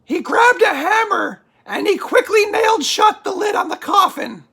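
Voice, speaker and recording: An extremely high, creaky spooky puppet storyteller. The character reads scary bedtime tales theatrically, excitable and exclamatory, stretching words for drama and easily sidetracked. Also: female voice, heavily acted. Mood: spooky, dramatic. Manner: exclamatory, drawn-out dramatic delivery.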